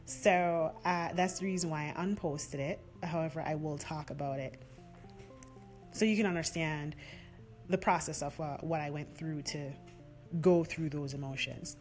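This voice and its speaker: A woman hosts her own podcast alone, speaking in a lower register than most women. Her delivery is earnest and heartfelt as she reflects and affirms her beliefs. Faint music sits underneath her voice. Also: fairly even intonation, normal speed. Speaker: podcast host. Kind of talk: solo reflective podcast talk.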